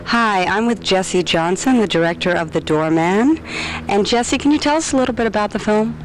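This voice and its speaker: A woman's voice: mid-range voice, expressive, normal speed, poor audio. A woman doing casual on-location interviews as the interviewer. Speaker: on-set interviewer. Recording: location recording with crowd noise.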